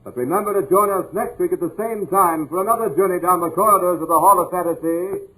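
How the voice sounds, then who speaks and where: A man signing off as host of an old radio show, quick and declamatory. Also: high-pitched, sharp tone, low-quality recording. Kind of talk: formal show sign-off.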